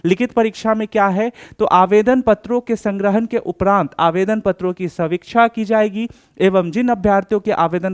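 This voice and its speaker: A man's voice: high voice, clear, resonant tone. A man explaining things step by step like a coaching instructor, often checking that students follow.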